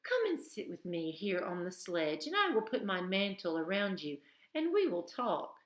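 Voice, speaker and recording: A woman's voice: a little high, animated, unhurried, echoey room, pitched above the performer's own voice. A woman putting on a haughty, menacing queen. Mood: falsely sweet. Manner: haughty, commanding questions. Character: imperious cold queen, grown woman, stern and menacing.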